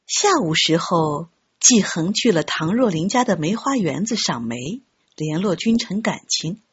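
A woman narrates a story with an expressive, scripted delivery. Her voice has a husky grain and moves in lively swings of pitch. The recording is slightly dull and lo-fi. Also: unhurried. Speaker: audiobook narrator. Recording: dull, muffled lo-fi audio.

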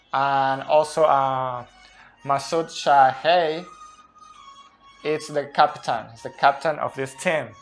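A man in his thirties whose first language is Spanish, explaining football tactics off the cuff at a whiteboard, recorded on a phone in a small indoor room. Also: a little high. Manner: earnest, pointing at a tactics whiteboard while talking. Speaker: football analyst. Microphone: phone camera up close.

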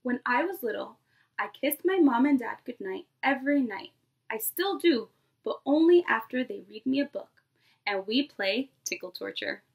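High-pitched young woman reading to preschoolers. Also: normal speed. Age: 20s. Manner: expressive, addresses children directly with questions. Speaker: preschool teacher. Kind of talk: animated read-aloud to young children. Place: small bedroom, indoors.

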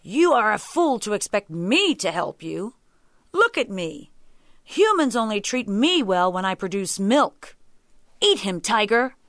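A resentful storybook buffalo, read by a woman, curt and full of scorn. The delivery is blunt and dismissive, with expressive pitch. The sound is close and dry. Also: unhurried, no room sound. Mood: bitter, dismissive. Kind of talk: animal folktale storytelling.

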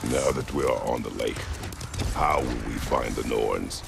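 An actor playing a stern, battle-worn warrior father in a video game, speaking tersely and gravely in a gravelly voice. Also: extremely low pitch, unhurried, noisy background, full character voice. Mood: grave, guarded.

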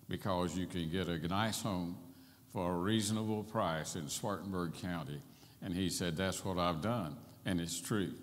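An older man, in his seventies or beyond, preaching a folksy, plain-spoken sermon with personal stories. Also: low-pitched. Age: 70s or older. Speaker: Baptist pastor. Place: large church sanctuary, at a pulpit. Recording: reverberant church hall.

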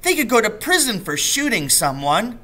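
Man reading as an earnest, wide-eyed young boy, pitched up. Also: big pitch swings, moderate pace, bright, forceful tone, heavily acted. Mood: alarmed disbelief. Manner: aghast, exclaiming. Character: young boy, earnest, shocked, devoted to his father.

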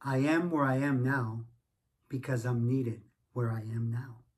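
A man's voice: medium pitch, steady tone, a little slow. A middle-aged man giving a reflective personal narrative.

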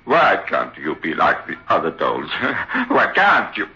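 An actor playing a frightened, domineering ventriloquist in an old radio horror play, blustering and overwrought. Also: male voice, very deep voice, huge pitch swings, measured pace, bright, forceful tone, heavily acted. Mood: desperate, angry. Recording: dull lo-fi old radio recording.

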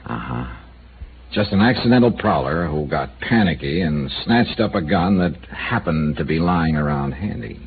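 A man's voice: very deep voice, lively intonation, normal speed. An actor playing a tough, blunt insurance investigator in a 1950s radio drama, pressing his questions in a dry way. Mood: probing. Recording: tinny old radio broadcast with hiss.

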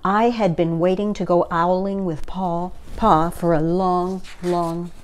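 A woman in her sixties reading a children's story aloud with gentle, measured, deliberate phrasing, recorded indoors at about arm's length. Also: fairly low pitch, fairly even intonation, slight background noise. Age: 60s. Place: small furnished living room, indoors.

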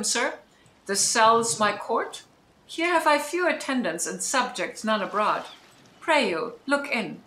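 A woman playing a dignified exiled duchess in a Shakespearean radio play, stern yet forgiving, with deliberate, commanding formality. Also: medium pitch, bright, forceful tone, a little hiss or hum, low-quality recording. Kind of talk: Shakespearean audio drama acting.

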